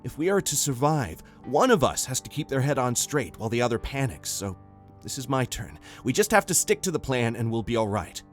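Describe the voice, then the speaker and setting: A man's voice: mid-range voice, sing-song, a little fast, faint music behind, close to an everyday voice. A man voicing an urgent, protective husband in an audio sci-fi story, speaking emphatically. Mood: reassuring, grave. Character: urgent, protective husband fleeing alien invasion. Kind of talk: audio story dialogue acting.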